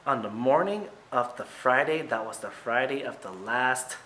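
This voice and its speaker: A man who is a teacher reading a picture book aloud to children, with expressive, emphatic phrasing and short asides to his listeners. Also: mid-range voice, moderate pace, a little hiss or hum, poor audio.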